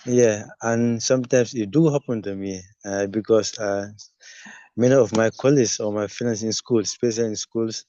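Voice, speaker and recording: A man who stutters, speaking haltingly on a video call during a webinar. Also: on the low side, steady tone, warm tone, dry recording. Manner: stutters, halting with many pauses. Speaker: webinar participant. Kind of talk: spontaneous comment. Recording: dry muffled video call audio.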